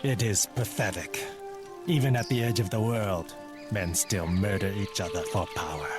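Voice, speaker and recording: A man's voice: fairly low pitch, moderate pace, music in the background, close to an everyday voice. A man playing a stern, honourable Chinese fleet captain in a video game cutscene, speaking in a formal, grave, commanding way. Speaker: game character, military leader.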